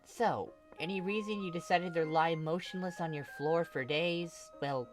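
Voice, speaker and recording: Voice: mid-range voice, moderate pace, music in the background, pitched above the performer's own voice, lightly acted. A narrator voicing a young woman, a falsely cheery, teasing big sister in a story reading, with a playfully smug, nagging manner. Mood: coaxing.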